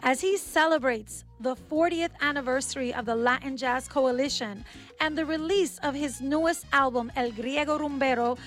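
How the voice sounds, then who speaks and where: A woman's voice: high-pitched, moderate pace, fairly bright tone, soft background music. A woman co-hosting a radio show, reading a polished guest introduction and asking questions. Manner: upbeat, scripted-sounding introductions. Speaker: radio co-host.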